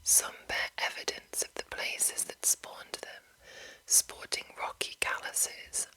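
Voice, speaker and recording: A woman whispering breathily in an ASMR style, reading aloud in deliberate short phrases with soft commentary. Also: poor audio. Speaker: ASMR host reading a monster manual.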